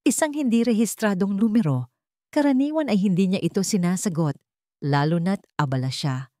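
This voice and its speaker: A woman's voice: mid-range voice, animated, normal speed, warm tone, no room sound, studio quality. A woman narrating a scripted dramatic audiobook story.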